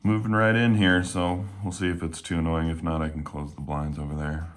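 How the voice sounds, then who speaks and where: A man casually talking while taking apart and cleaning a knife at home, a little hoarse today, relaxed and rambling with plenty of fillers. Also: sits low, brisk. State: slightly hoarse. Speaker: knife reviewer. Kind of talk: casual talk while working. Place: home room with windows.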